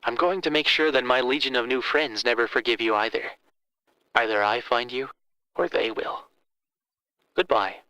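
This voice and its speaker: An actor playing a vengeful, theatrical male villain in a horror audio drama, speaking with deliberate mock politeness, like a storyteller with a threat. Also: mid-range voice, fairly even intonation, bright, forceful tone, clear, resonant tone, dry recording, close to an everyday voice, poor audio. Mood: menacing.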